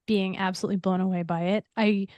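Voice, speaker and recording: A woman's voice: medium pitch, steady tone, fast talker, a little mellow, no echo. A woman in her forties, a guest on a film podcast, talking frankly and enthusiastically, with casual swearing.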